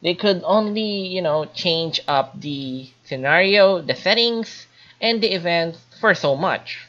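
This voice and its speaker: A woman giving an informal spoken game review in a hesitant, halting, explanatory way. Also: fairly low pitch, animated, a bit bright, dull audio. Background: light background music.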